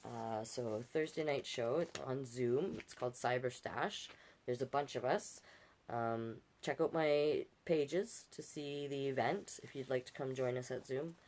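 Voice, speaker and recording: A woman with a low voice reads picture books aloud as a storytime host. She is animated and folksy, slipping easily from the story into chatty, encouraging remarks to her viewers. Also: a little slow.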